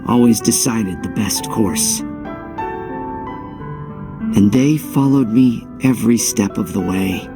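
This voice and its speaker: An actor playing an earnest young hero in a game cutscene, reflective and heartfelt, with dramatic pauses. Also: male voice, lowish voice, vocal fry, over music. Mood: wistful, sorrowful. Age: young adult. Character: earnest young male hero, determined and wistful. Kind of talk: scripted game cutscene acting.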